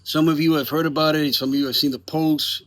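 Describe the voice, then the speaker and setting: A man's voice: on the high side, fairly even intonation, a little fast, fairly bright tone. A man speaking as a theater maker in a casual promotional chat, informal and emphatic. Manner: informal, emphatic, lightly profane. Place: outdoors in the evening.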